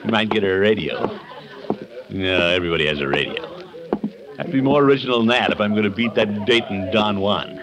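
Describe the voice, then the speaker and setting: Actor as blustery pompous man, swooping pitch. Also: lively intonation, a little fast, a loud crowd, natural voice. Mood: scheming, pleased. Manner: blustery, self-satisfied, chuckling. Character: pompous, boastful middle-aged suitor, eager and scheming. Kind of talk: 1950s radio comedy acting. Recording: noisy vintage 1950s radio recording.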